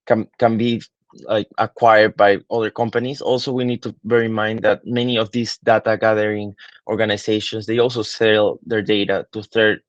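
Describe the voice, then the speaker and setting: A man's voice: lowish voice, no echo, lo-fi sound. A man in his twenties from Peru, a digital marketing consultant answering interview questions thoughtfully, with many fillers and restarts. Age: 20s.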